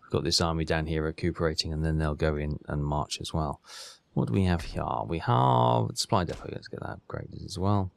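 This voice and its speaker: A man's voice: a bass voice, a little fast, gravelly, dry recording. A man hosting a gaming video, giving casual commentary and thinking aloud in a chatty way as he plays.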